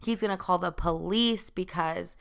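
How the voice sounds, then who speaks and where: A young woman telling a personal story in a casual, chatty way, full of fillers and quick asides. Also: lowish voice, creaky. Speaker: vlogger.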